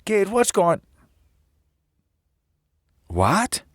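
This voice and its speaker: A close, dry recording of a guarded sheriff in an audio drama. He is blunt and commanding, unhurried in pace but with lively swings in pitch. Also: no room sound, heavily acted. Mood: urgent. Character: gruff, guarded small-town sheriff taking charge.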